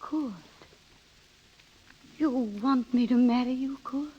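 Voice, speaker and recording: Restless, defiant romantic wife, high voice, emotive 1930s radio drama style, old broadcast sound. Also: female voice, fairly even intonation, slow pace, slightly rough, muffled recording, full character voice. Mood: tender, wistful. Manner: theatrical, emotional delivery.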